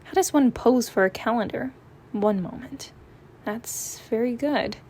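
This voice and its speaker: A woman's voice: on the high side, measured pace, darkish tone, slightly rough. A woman voicing a smug, lazy, sarcastic male cat from a comic, with a dry delivery. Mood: deadpan.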